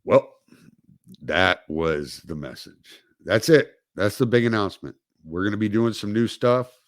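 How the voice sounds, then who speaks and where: A man hosting a casual livestream talk in an unhurried, low voice. He is laid-back, chuckles, and lets words trail off. The sound is dry and close. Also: lively intonation, no echo. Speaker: host.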